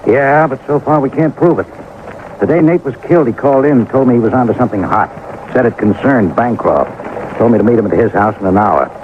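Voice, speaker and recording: An American actor playing a plainspoken, weary homicide sergeant in a 1950s radio drama, terse and colloquial. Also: male voice, medium pitch, brisk, lightly acted. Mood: grim. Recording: tinny, noisy old radio recording.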